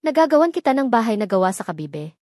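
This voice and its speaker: A woman's voice: on the high side, very animated, quick pace, darkish tone, no room sound, studio quality. A woman reading the lines of a tender young man in love, speaking in an earnest, heartfelt way. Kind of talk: storybook dialogue reading.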